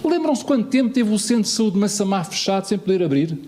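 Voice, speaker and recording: A man speaks to a municipal assembly in a high, lively voice. He swings his pitch widely, piling up rhetorical questions and repeated phrases with emphasis. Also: fast talker. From Lisbon, Portugal. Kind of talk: political speech in an assembly.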